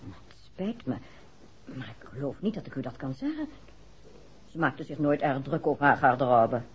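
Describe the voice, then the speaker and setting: An actress in a 1960s Dutch radio drama, playing a soft-spoken, expressive woman in a detective serial. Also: very deep voice, moderate pace, husky, exaggerated voice. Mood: hesitant, puzzled. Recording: dull, noisy 1960s radio recording.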